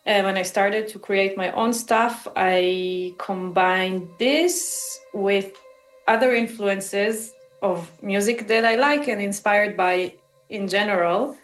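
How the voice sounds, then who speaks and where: Slow, halting Israeli-accented woman. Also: mid-range voice, reverberant, faint music behind, low-quality recording. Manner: halting, searching for words, pausing mid-phrase. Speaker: musician and composer interviewed about their work. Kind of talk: casual, reflective interview answers.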